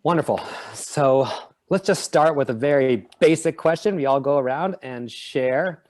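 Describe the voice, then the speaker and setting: Guy hosting a zoom panel, even middle voice, earnest and measured. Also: mid-range voice. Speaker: event host.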